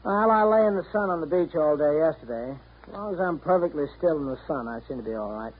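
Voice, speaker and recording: A sour, idle layabout in a 1930s radio play. His voice is fairly high and husky, with a dry, muttering delivery. The old recording sounds dull. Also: animated, fast. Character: lazy, sour, self-absorbed man, idly sardonic. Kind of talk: 1930s radio drama acting.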